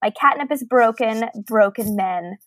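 A woman's voice: fairly high pitch, a little slow, a bit bright, no echo, poor audio. A woman co-hosting a podcast, reading aloud and chatting about books in an articulate, conversational way. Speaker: podcast co-host. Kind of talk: reading listener email aloud and casual book discussion.

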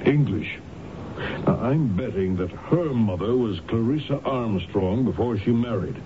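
An American actor playing an earnest, courteous, persistent lawyer and husband in a radio drama, speaking in a polite, measured, probing manner. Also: male voice, lowish voice, expressive. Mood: eager. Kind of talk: old-time radio drama acting. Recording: noisy, narrow-band old radio recording.

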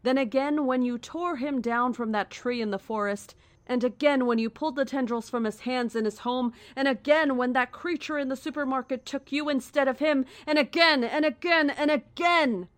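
An actress playing a cryptic, coldly certain cult founder in a horror audio drama, speaking in a measured, formal and deliberate way. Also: female voice, sits high, fairly bright tone, dry recording. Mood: cold, accusing.

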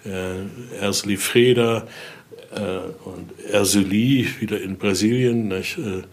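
Older man, low voice, explaining a collection. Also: steady tone, slow pace. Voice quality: non-native-sounding German with grammar slips. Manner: frequent questioning tags at sentence ends. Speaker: Voodoo researcher and collector. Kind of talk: explaining collection items to visitors in conversation.

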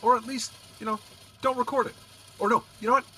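An actor playing a teasing, casually skeptical police officer in an audio drama, dry and conversational over car engine rumble. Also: male voice, fairly even intonation, fast talker, pushed and bright. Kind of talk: naturalistic audio drama acting.

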